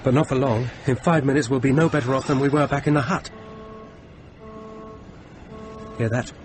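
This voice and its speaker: An actor playing a frightened, insistent man stranded in a snowstorm, giving grave, urgent warnings with dramatic pauses in a radio horror drama. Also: mid-range voice, fairly even intonation, slight background noise, natural voice. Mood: wary, urgent.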